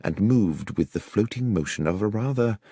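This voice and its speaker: Expressive low-voiced British man narrating. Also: moderate pace, husky, no room sound, clean studio sound. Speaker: audiobook narrator. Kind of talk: scripted dramatic narration of a detective story.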